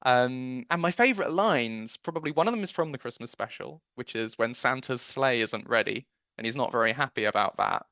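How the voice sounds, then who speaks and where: A man's voice: mid-range voice, fairly quick, few pauses, sharp tone, dry recording, muffled recording. A man giving chatty, enthusiastic interview answers as a producer, recounting memories in a conversational way.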